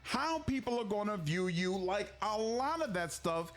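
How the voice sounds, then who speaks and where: A man giving casual, exasperated commentary as a gaming video creator, explaining clearly. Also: high voice, big pitch swings, measured pace.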